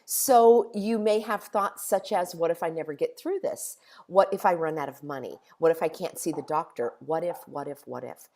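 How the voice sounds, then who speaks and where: Hoarse middle-aged lady talking to her viewers, fires off question after question, lowish voice. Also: moderate pace, hardly any pauses, a little mellow. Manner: repetitive rhetorical questions, emphatic. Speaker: host. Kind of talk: motivational monologue.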